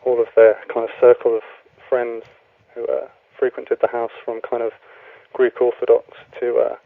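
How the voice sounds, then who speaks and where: A young man talks over a tinny, phone-like line with dry, close sound. His voice is flat and even in pitch, and he speaks in a relaxed, hesitant way, pausing often on ums as he tells his story. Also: creaky, dry recording. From Wales. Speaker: guest author. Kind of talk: radio interview.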